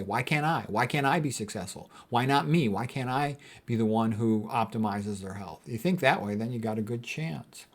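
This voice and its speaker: A man speaking as a doctor in an informal lecture, reading out quotes and commenting on them in a plain-spoken, didactic way with short emphatic phrases. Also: mid-range voice. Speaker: physician giving health commentary.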